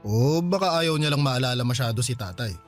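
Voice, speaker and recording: A man's voice: medium pitch, lively intonation, fairly quick, soft background music, lightly acted. A male actor with a Filipino accent playing a patient, awkward older brother in a radio drama, coaxing and hesitant. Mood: gently probing. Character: conciliatory older brother back from years abroad, patient, awkward.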